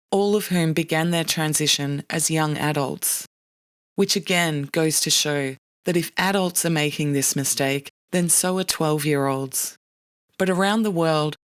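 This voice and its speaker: A podcast narrator reading a scripted documentary narration in a measured, serious way. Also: medium pitch, clean tone, no echo. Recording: dry studio voice with faint music.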